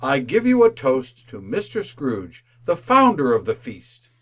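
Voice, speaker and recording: Kindly, animated poor father. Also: medium pitch, measured pace. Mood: hearty. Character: kindly, devout, cheerful poor clerk and father. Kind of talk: old-time radio drama acting.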